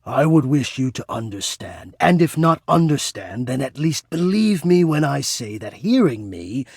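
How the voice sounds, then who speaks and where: An actor playing a shy, formal and lovestruck male ventilation system in a dating game, speaking earnestly and haltingly in a breathy voice. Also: medium pitch, no echo, studio quality. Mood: earnest, apologetic. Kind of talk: dating game character voice acting.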